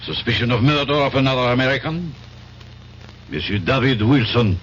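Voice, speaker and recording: A man's voice: medium pitch, lively intonation, normal speed, natural voice. Formal French police inspector played by a man, muffled recording. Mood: suspicious, formal. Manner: formal, insinuating. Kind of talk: old-time radio mystery acting. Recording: dull, faintly noisy old radio recording.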